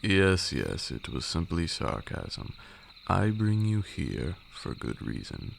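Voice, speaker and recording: A man playing a commanding vampire lord and magic mentor in a roleplay ASMR, with a gravelly voice and a deliberate, dryly sarcastic manner. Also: sing-song, a little hiss or hum, exaggerated voice. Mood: dry, teasing. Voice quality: gravelly, very deep, breathy at times. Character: stern, teasing old male vampire lord, mentor in magic.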